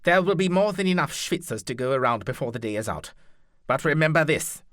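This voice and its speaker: A man's voice: a little high, lively intonation, brisk, fairly bright tone, no echo, studio quality, voice pushed up. A man reading a novel aloud, voicing a stern noble duke who gives clipped, authoritative orders. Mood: commanding. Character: stern noble male duke, cold and commanding. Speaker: duke commanding an army.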